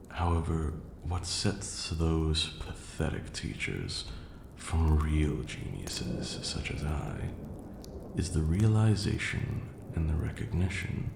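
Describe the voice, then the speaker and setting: A haughty, patient vampire lord, acted by a man for an ASMR roleplay, lectures like a pompous tutor of magic. The voice is deep and slow, with long deliberate pauses. It comes through with a clear background noise. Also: heavily acted. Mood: haughty disdain. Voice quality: low, gravelly. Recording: roomy echo, audible background hiss.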